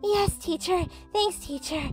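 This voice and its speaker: A woman voicing a sleepy, easily flustered teenage girl, expressive and dramatic, for a comic dub. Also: extremely high pitch, takes it slowly, soft background music. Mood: apologetic.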